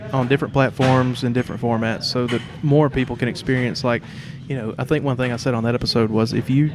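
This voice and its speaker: A man's voice: medium pitch, steady tone, fast, a crowd in the background. A man hosting a podcast in an informal, conversational way, recorded in a shared studio space in a theater. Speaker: podcast host. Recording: clear background noise.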